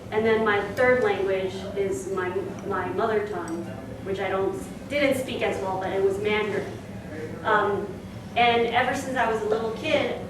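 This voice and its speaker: Young Singapore-raised woman, echoey and distant. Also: medium pitch. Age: young adult. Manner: chatty with frequent fillers. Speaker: speaker. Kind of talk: presentation to a club. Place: meeting room. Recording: echoey room, distant mic, noisy.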